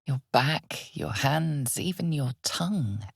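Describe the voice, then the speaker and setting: A woman voicing a solemn, ominous narrator of a dark fantasy video game, with measured, dramatic storytelling. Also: very deep voice, expressive, creaky, slightly rough, dry recording, clean studio sound, full character voice. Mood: measured, foreboding. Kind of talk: scripted second-person fantasy game narration.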